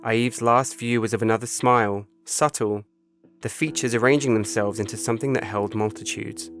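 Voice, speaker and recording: A man reading his own short story as a scripted literary narration, measured and solemn. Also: on the low side, fairly even intonation, fairly bright tone. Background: music bed.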